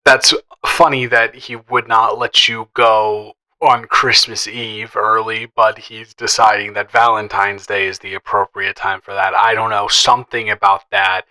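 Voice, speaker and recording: Guy playing a teasing best friend type, bright voice, kinda shy n stammery, flirty audio roleplay, chatty. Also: lowish voice, level tone, low-quality recording. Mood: playful, teasing. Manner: playful, teasing, halting with stammers and chuckles. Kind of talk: improvised, conversational romantic audio roleplay.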